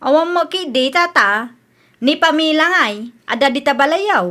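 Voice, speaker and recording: Woman playing a brash, high, bright Ilocano housewife. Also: animated, normal speed. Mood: evasive. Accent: Ilocano Filipino. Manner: defensive, dismissive. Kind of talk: radio comedy drama acting.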